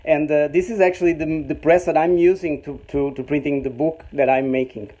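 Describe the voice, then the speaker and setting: A Portuguese man in his thirties, speaking English with many pauses and restarts as he gives a casual tour of his small home workshop. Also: on the high side, fairly even intonation, mellow. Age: 30s. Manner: hesitant, many fillers and restarts, chuckles often. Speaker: host showing his home print workshop. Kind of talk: casual live-stream tour. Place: small home machine room.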